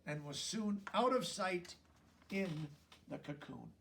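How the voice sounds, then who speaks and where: A man reading a children's story aloud as an expressive narrator. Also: high-pitched, slow pace, creaky, recorded from a distance, slight background noise. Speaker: storybook reader.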